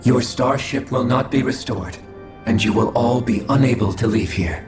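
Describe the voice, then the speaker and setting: An echoing male alien played by an actor speaks with clinical detachment and formal wording over clear game music. He is an emotionless observer of mid-pitched voice, measured and cold. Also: natural voice. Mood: cold, firm. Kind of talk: scripted video game cutscene acting.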